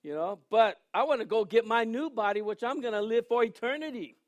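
A man in his sixties preaches with a high voice, trading jokes and asides with his listeners before slowing down to read scripture. The sound is dry and close, with no room echo. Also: lively intonation. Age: 60s. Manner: joking, conversational preaching with asides; reads scripture aloud slowly. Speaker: pastor. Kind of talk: sermon.

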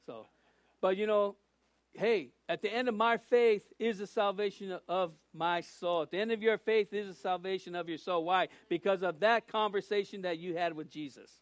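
Folksy older preacher, high voice. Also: male voice, normal speed, dry recording. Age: 60s. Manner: folksy, rambling, with jokes and restarts. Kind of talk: informal sermon.

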